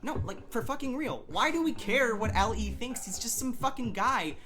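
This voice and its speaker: A woman's voice: a little high, animated, moderate pace, pitched above the performer's own voice. A woman voicing a frustrated, impatient, foul-mouthed grown-up daughter in an audio drama read-through. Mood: ranting. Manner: sweary, impatient. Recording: loud music under voice.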